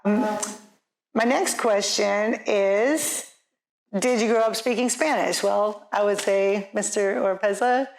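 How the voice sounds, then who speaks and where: A woman's voice: mid-range voice, slow pace. A middle-aged woman, a teacher, casually moderating a school panel with many hesitant fillers. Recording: echoey room.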